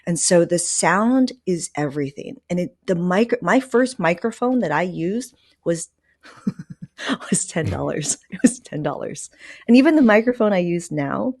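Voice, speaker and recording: Low-pitched woman, casual podcast host. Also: lively intonation, measured pace, a little mellow, no echo, studio quality. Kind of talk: casual conversational talk.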